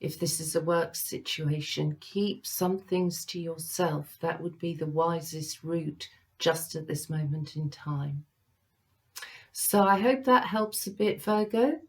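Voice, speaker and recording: A woman reads tarot cards for her viewers in a slow, unhurried way, with a slightly low voice. She pauses often and fills the gaps with ums. Her tone stays gentle and reassuring throughout. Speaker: psychic medium giving a tarot reading. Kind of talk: unscripted tarot reading for viewers.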